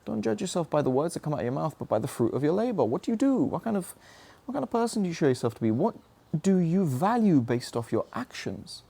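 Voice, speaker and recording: Earnest man with a gravelly, mellow voice. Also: on the high side, big pitch swings, quick pace. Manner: earnest, searching, self-questioning. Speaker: speaker sharing personal reflections. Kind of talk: reflective motivational monologue.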